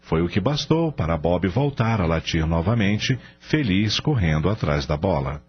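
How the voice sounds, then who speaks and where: A man narrating a scripted radio drama as an expressive storyteller. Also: sits low, moderate pace, slightly rough. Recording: dull lo-fi audio, faint music.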